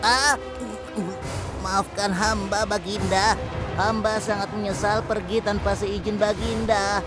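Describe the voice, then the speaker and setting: A woman's voice: medium pitch, little pitch movement, slow, lightly acted. A woman voicing an earnest, humble young hoopoe bird messenger in a children's cartoon, with an exclamatory delivery. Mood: humble, deferential. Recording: loud music behind the voice.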